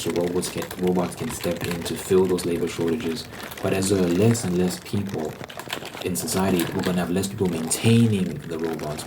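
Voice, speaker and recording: Low-pitched man rambling in ASMR style. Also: background noise. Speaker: ASMR video host.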